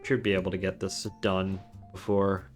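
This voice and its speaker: A man's voice: sits low, little pitch movement, normal speed, faint music behind. Rambling young male streamer. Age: young adult. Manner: rambling, with restarts. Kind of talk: casual chat while gaming.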